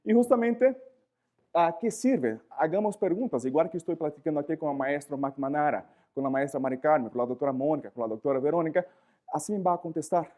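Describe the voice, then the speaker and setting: A man whose first language is Portuguese, speaking Spanish with a Portuguese accent as he gives a live lecture, animated, often searching for words and asking rhetorical questions. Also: on the high side, fairly quick.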